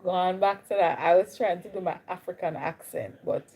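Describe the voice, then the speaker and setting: A woman's voice: on the low side, normal speed, dark tone, husky. A young woman with a Jamaican accent, chatting casually with viewers on a live stream. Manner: chatty, greets viewers, drifts between Caribbean accents. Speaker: livestream host.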